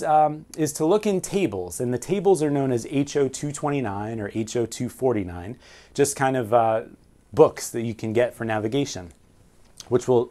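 A man's voice: medium pitch, darkish tone. Man explaining navigation at a whiteboard. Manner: explains step by step, asks and answers his own questions. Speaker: navigation instructor. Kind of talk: whiteboard lesson. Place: small room with framed pictures and signal flags.

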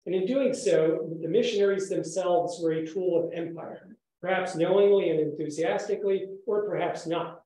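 A man lectures in a very reverberant room. His voice sits fairly high for a male speaker and has a soft, mellow tone. He speaks formally and with measured articulation, as at an academic conference. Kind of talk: academic conference talk with read-out quotations. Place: echoey indoor room, speaker standing while presenting. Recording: very echoey room sound.

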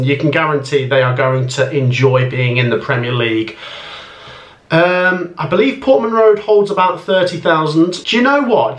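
A young man talks through his rankings in a relaxed, rambling way, pausing with ums as he decides. His voice sits a little high for a man. The sound bounces around a small room at home. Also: roomy sound. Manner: chatty, thinking aloud with many ums. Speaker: football YouTuber. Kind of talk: casual ranking commentary.

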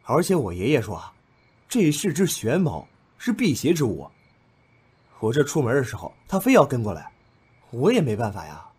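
A man's voice: medium pitch, wildly swooping pitch, normal speed, a little mellow, husky, heavily acted. An actor playing a level-headed, talkative young man among friends in an audio drama. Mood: sheepish, chatty. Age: young adult. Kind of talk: Chinese audio drama acting.

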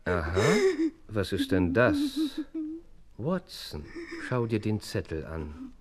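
An actor playing a brisk, commanding detective in an audio drama, curt and imperious. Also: male voice, sits low, expressive. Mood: keen, confident. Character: brisk, commanding, sharp-minded male detective. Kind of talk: German audio drama acting.

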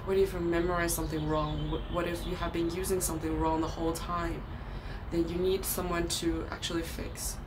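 Young female vlogger, Chinese accent, lower register, earnest explainer tone, outdoor hiss behind her. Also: little pitch movement, brisk. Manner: earnest, explanatory, occasional ums and restarts. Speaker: language vlogger. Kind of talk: casual talking-head vlog. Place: outdoors by a brick wall.

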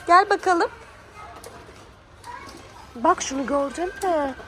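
A woman's voice: extremely high pitch, expressive, slow pace, over music. A woman talking as a doting mother to her small child, in casual child-directed chat full of prompting questions. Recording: clearly noisy background.

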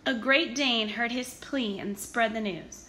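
A woman reading a children's story aloud in an expressive, animated storytelling manner with emphatic stresses. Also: fairly high pitch, unhurried. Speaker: storybook reader.

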